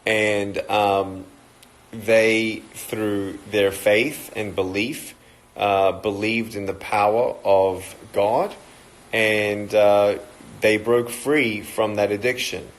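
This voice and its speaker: A man's voice: lowish voice, flat delivery, drawn-out words, low-quality recording. A man in his thirties with an Australian accent, chatting casually and joking as he greets viewers on a livestream talk. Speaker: motivational speaker.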